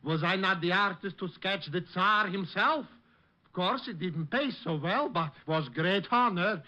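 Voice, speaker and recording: High-pitched, boastful Russian artist. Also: male voice, measured pace. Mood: haughty. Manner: theatrical, excitable, broken English. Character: temperamental, boastful, money-grubbing Russian émigré artist. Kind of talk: 1950s radio comedy-detective acting. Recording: dull vintage radio recording.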